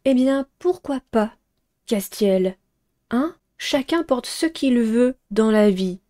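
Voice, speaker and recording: A woman's voice: a little high, expressive, slow, warm tone. A young woman playing a visual novel, reading dialogue aloud and commenting in a playful, teasing way. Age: young adult.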